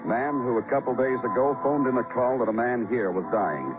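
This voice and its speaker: An actor playing a terse, brooding police detective who narrates an old-time radio crime drama in clipped, dramatic phrases. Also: male voice, medium pitch, fairly quick, music in the background, close to an everyday voice. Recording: tinny vintage radio broadcast recording.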